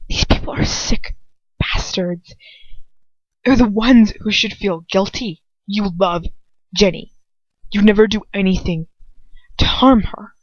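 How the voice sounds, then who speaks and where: A woman voicing a protective, tender young woman who consoles a friend in a firm, hushed, soothing way in an audiobook. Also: medium pitch, no echo. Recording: dull lo-fi home recording.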